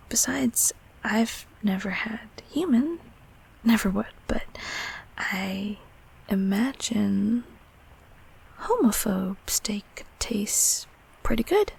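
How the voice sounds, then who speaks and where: Woman as a raspy whispering gentle closet monster. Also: a little high, full character voice, low-quality recording. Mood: protective, indignant. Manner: halting, soothing, softly spoken. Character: gentle, apologetic childhood closet monster, kindly protective. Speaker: audio roleplay performer. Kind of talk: intimate comfort audio roleplay.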